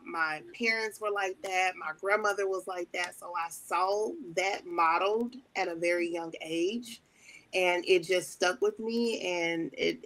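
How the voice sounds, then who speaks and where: Low gravelly woman from chicago, chatty guest, lots of ums. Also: rough recording. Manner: warm storytelling with many fillers. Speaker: wedding planner and ordained elder, guest. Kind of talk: casual interview talk.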